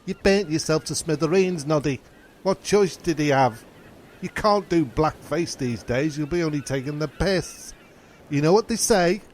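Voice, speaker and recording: Cheeky male castaway sidekick played by a man for radio comedy; matey, slightly high pitch, faint noise behind. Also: normal speed, a little mellow, close to an everyday voice. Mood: teasing. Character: cocky, matey castaway sidekick, easily convinced. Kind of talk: comic radio play acting.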